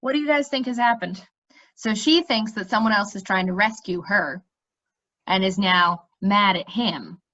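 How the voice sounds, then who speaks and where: A woman reading a children's story aloud in an expressive, animated storytelling manner. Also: mid-range voice, lo-fi sound. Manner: animated, pausing to check in with listeners.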